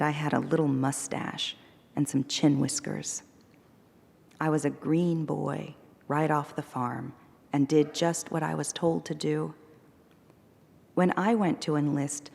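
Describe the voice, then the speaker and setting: A woman poet giving a measured, deliberate reading of her poems at a live event. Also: sits low, creaky, darkish tone, big-room sound. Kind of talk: poetry reading. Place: performing arts center hall.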